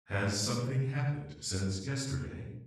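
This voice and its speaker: A man voicing an anxious, polite, humanlike artificial intelligence in an emotional audio drama, speaking in a measured, formal, hesitant way. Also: medium pitch, natural voice. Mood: puzzled, wary. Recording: echoey, distant-sounding room.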